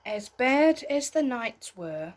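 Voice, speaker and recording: A woman reading a bedtime story aloud in an expressive way, as if talking to young listeners. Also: a little high, takes it slowly, slight background noise. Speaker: storybook reader.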